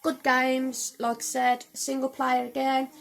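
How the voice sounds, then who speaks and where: Woman gaming vlogger, slightly croaky, higher-pitched, chatty and rambling. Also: completely flat delivery, unhurried. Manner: chatty, rambling, with frequent "um" and "so, yeah". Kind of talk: casual game collection review. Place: home room.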